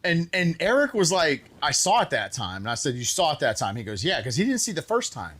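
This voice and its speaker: A man hosting a show, telling casual stories and acting out bits of conversation in an animated way. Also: a little high, a little fast. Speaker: show host. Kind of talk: casual group storytelling.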